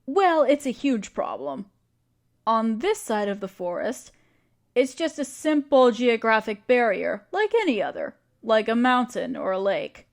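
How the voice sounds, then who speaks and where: A woman's voice: a little high, lively intonation, a little slow, vocal fry. A woman playing an earnest, caring necromancer in a scripted audio roleplay, speaking directly to the listener in a conversational, reassuring way. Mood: earnest, eager. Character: earnest, caring female necromancer with a grand plan.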